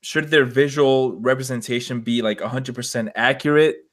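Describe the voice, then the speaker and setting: A man's voice: medium pitch, fairly even intonation, normal speed. Tentative man hosting a casual chat. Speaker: podcast host steering discussion.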